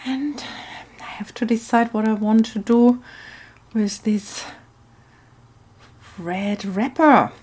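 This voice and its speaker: A woman hosting a craft video, talking in a musing, unhurried storytelling way. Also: a little high, darkish tone, husky. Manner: musing, hesitant, thinking aloud. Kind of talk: casual craft narration and slow storytelling.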